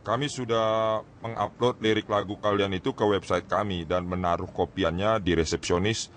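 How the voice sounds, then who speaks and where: Man acting eager radio station manager, lower voice, encouraging. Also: steady tone, normal speed, a little hiss or hum, close to an everyday voice.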